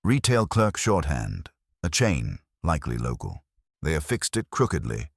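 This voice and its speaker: Guy doing a pompous genius detective, really deep, clipped and formal, bossy, audiobook. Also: huge pitch swings, moderate pace, vocal fry, breathy edge, no room sound, studio quality, full character voice. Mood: imperious, precise. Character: imperious, pedantic male genius detective.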